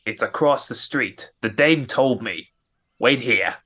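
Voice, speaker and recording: A griping working-class mover from an old radio play, acted out by a performer. The delivery is slow and blunt, at a middling pitch, with slangy, grumbling speech. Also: bright, forceful tone. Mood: gruff, weary. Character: gruff, griping working-class moving man, the boss. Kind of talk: old-time radio drama acting. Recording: amateur online radio drama recording.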